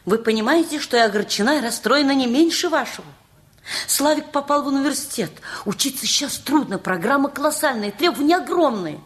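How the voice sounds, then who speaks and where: A woman's voice: high voice, animated, fairly quick. A middle-aged actress in a radio play, playing a bossy, proper, anxious mother with an insistent, emphatic way of talking. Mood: earnest.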